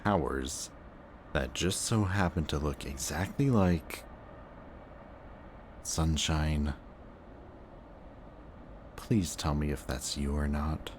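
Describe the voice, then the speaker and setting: A man playing a sardonic, gruff but protective antihero villain in an intense, emotional audio roleplay. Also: rumbling low, slow pace, pauses often, slight background noise. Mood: tense, hurt.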